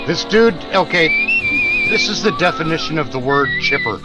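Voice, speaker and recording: Male co-host, very animated, lightly high voice, crude heckling banter under loud music. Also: moderate pace.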